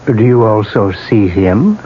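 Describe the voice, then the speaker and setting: An actor playing a calm psychiatrist, measured and questioning, in a 1970s radio drama. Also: male voice, fairly low pitch. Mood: calm, probing. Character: calm, probing male psychiatrist. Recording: muffled, hissy 1970s radio recording.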